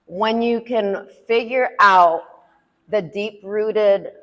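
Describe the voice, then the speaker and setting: A woman co-hosting a podcast, chatting candidly and animatedly with frequent laughter and quick bursts when she vents. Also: mid-range voice, a bit bright, in a large hall, lo-fi sound. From Puerto Rican heritage. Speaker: podcast co-host. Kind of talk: candid casual chat.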